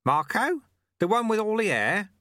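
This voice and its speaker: Man acting a little old monk, raised pitch, bright tone, breezy, wry, amiable, teasing, storybook character. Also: sing-song, moderate pace, no echo, studio quality, exaggerated voice. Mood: amiable, amused. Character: cheerful, amiable little old monk sweeper, wryly modest.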